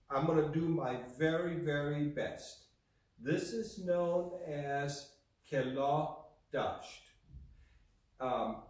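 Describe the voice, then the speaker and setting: A man from Germany presents a product off the cuff, in a halting, deliberate way that often circles back on itself. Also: fairly high pitch, darkish tone. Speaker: video host and Persian rug specialist. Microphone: camera mic from far away. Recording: very echoey room, distant microphone.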